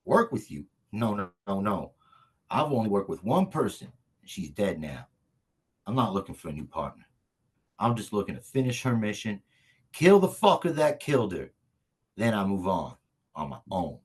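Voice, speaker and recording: A man in a script table read playing a brash, streetwise agent out for revenge, talking slangy and cocky with a husky voice. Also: lowish voice, very animated, normal speed. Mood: vengeful, stubborn. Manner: slangy, profane, stubborn, cocky. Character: brash, vengeful, hard-drinking lone agent, male, streetwise. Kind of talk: virtual table read of a comedy screenplay.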